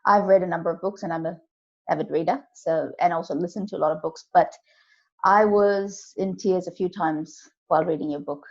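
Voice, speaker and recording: A woman's voice: on the low side, steady tone, brisk. A woman hosting a podcast interview, introducing her guest with enthusiasm and lots of praise. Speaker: podcast host. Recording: dull lo-fi sound.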